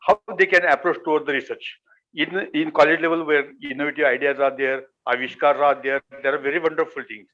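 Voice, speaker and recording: A man asks a polite question on a video call, halting and rephrasing as he goes. His voice sits somewhat high, and the call audio is muffled. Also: fairly even intonation, fairly bright tone. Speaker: audience member asking questions.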